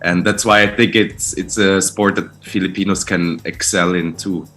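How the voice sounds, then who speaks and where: A man in his thirties, raised in Switzerland, answering interview questions about ice hockey in a relaxed, easygoing way. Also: sits low, moderate pace. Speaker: guest ice hockey player.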